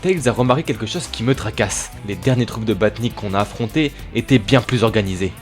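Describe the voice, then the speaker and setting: A woman plays a young male cartoon hedgehog hero in a comic dub. The voice is very low for a woman and boyish, with a casual, self-assured swagger. Faint music plays behind. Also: fairly quick. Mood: easygoing. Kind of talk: French fan comic dub.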